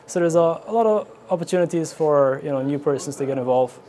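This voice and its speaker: A man's voice: on the high side, lively intonation, fast talker, mellow. A man with a Guyanese accent giving informal interview answers as an air traffic controller. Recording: clearly noisy location interview.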